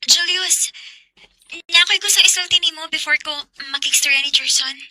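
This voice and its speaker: High, very bright young woman. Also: normal speed, no room sound, exaggerated voice, low-quality recording. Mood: earnest. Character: Aya, frank, sincere young woman confessing her love. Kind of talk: Bisaya radio drama acting.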